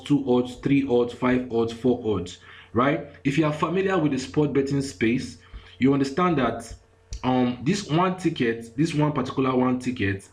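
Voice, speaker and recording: Nigerian man teaching an online class. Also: medium pitch. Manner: repetitive, keeps asking "you get me?". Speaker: online course instructor. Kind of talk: screen-share lesson.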